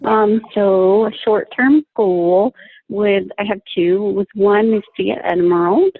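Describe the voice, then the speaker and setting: A woman on a casual group video call, talking in a measured way with some fillers. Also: mid-range voice. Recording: tinny phone-like line.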